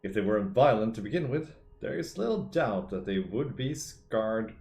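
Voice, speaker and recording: A man streaming a video game, talking casually and excitably, with a gravelly, hoarse voice from shouting. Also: fairly low pitch, moderate pace. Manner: chatty, excitable, reading lore aloud. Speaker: gaming streamer. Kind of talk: casual gameplay commentary. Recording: echoey room with faint noise.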